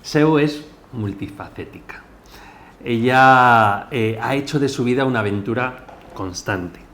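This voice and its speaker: A man's voice: fairly low pitch, fairly bright tone, slight background noise. A man hosting a podcast, speaking in a conversational interview style as he introduces and questions his guest.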